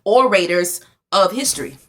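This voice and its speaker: A woman's voice: medium pitch, normal speed, fairly bright tone. A young adult woman co-hosting a podcast, talking bluntly and emphatically with plenty of profanity in casual chat. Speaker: podcast co-host.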